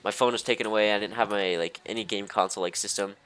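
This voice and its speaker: A young man telling personal stories in a casual, rambling way, halting and easily sidetracked, leaning heavily on filler words like "like". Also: fairly low pitch, steady tone, a bit bright, clear, resonant tone. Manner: hesitant, halting, easily distracted, heavy use of "like". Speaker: storyteller.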